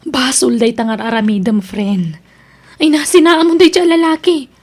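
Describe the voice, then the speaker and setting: Radio drama role: high-pitched Ilocano female friend, disapproving and preachy performed by an actress. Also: lively intonation, moderate pace. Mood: scolding.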